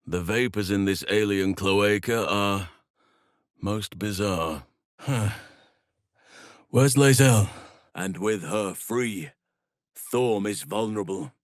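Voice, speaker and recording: An actor playing a solemn, gentle-hearted druid healer and warrior in a video game, speaking formally and with measured earnestness. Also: male voice, fairly low pitch, no echo, studio quality. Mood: solemn, thoughtful.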